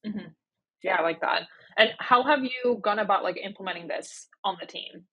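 A woman's voice: medium pitch, moderate pace, slightly rough, dull audio. A woman hosting a podcast interview in a casual, conversational way, often dropping in "like". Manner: informal, conversational, frequent "like".

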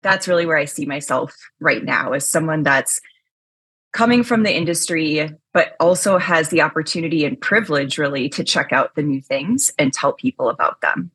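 A loud, energetic woman talking as a podcast guest, full of casual fillers and quick asides. Her voice sits low and sounds a little husky. The recording is close and dry. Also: no echo. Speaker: podcast guest, chief risk officer. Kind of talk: casual interview chat.

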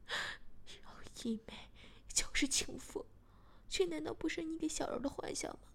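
A woman's voice: high voice, normal speed, creaky, pitched above the performer's own voice. A woman voicing a frightened but defiant young female captive in a whispered storybook reading. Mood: tense, pleading.

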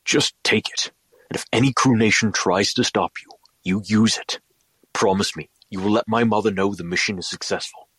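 A man's voice: fairly low pitch, moderate pace, fairly bright tone, slightly rough, no room sound, low-quality recording. A man playing a grave, protective alien rebel leader in an audio drama, terse and urgent.

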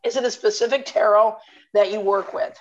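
A woman with laryngitis hosting a podcast, talking in a raspy, hoarse voice and laughing often, recorded over a video call. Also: medium pitch, steady tone, brisk, lo-fi sound. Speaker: podcast host. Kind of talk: casual chat.

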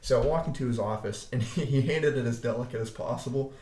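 A young man from Arkansas with a deep voice, co-hosting a podcast and telling laid-back stories full of restarts and swearing. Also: fast talker, dark tone. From Arkansas, USA. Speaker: podcast co-host. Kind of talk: casual chat. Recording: echoey room.

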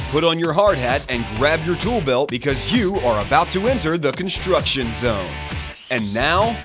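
A man reading a scripted, upbeat podcast intro as announcer. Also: a little high. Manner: upbeat promotional delivery. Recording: dull lo-fi sound with guitar music. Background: guitar music.

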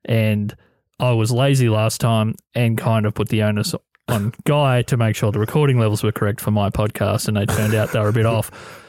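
Australian podcast host in a studio. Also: male voice, on the low side, steady tone, moderate pace, darkish tone, no echo. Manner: friendly, a bit rambling, self-deprecating. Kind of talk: interview chat. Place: radio studio. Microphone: studio mic.

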